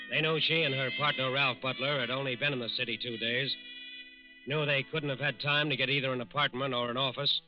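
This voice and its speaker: Male narrator for 1940s crime radio, deliberate and dramatic, dull vintage sound with clear music. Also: medium pitch. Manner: deliberate, dramatic repeated phrases. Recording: band-limited 1940s radio recording.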